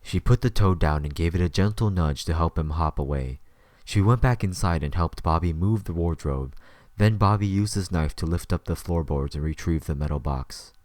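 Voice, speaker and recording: Casting: male narrator, low register, close dry recording, scripted storytelling. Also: moderate pace, a little mellow, no room sound.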